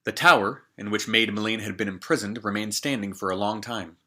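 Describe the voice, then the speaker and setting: A man in his twenties reading a fairy tale aloud, expressive and jokey, with casual asides. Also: lowish voice, brisk. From Canada. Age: 20s. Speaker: storyteller.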